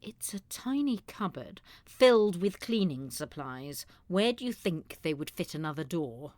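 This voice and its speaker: A woman's voice: on the low side, very animated, unhurried, a little mellow, dry recording. A woman playing a feisty, wry old woman with a rebellious streak in an audio drama. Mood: dry, wry. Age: older.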